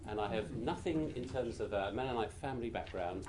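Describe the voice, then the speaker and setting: Soft-spoken British man giving a talk. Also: lowish voice, expressive, slight background noise. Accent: British English. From Britain. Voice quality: naturally quiet voice. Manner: gentle, measured, with frequent hesitations. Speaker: guest speaker. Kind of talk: informal talk to a seated group.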